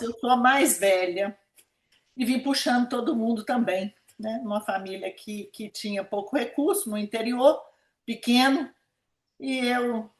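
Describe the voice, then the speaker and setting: A woman of about seventy with a Brazilian Minas Gerais accent, recalling her life in a conversational interview and repeating words for emphasis. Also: a little high. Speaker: interviewee, pediatric nephrologist. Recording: echoey room sound.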